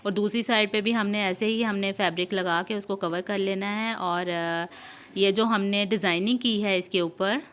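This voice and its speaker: A woman's voice: mid-range voice, fairly bright tone. A woman hosting a sewing tutorial, explaining each step informally and repeating herself as she goes. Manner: explanatory, repeats steps and often checks in. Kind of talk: informal step-by-step instructional narration.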